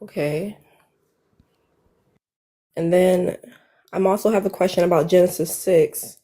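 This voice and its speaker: Soft-spoken young woman caller. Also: fairly low pitch, unhurried. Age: 20s. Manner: soft, hesitant, youthful-sounding. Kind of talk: casual live stream conversation.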